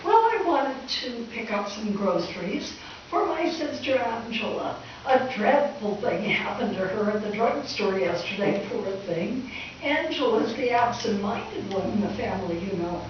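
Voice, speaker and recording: A woman's voice: mid-range voice, animated, unhurried, lightly acted. A woman playing a kindly, scatterbrained older landlady who rambles earnestly in an old-time radio comedy. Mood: vague, chatty. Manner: rambling, absent-minded, earnest. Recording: echoey stage from far off, dull, hissy.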